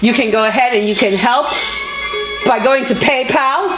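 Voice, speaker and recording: A woman with a fairly high, loud voice addresses her viewers in an unscripted, folksy appeal. She is emphatic and indignant, like a grassroots campaigner. The sound is homemade, with strong room echo from a small camera and some music around it. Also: normal speed. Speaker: activist video host and fundraiser. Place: motel room. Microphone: small video camera. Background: background music.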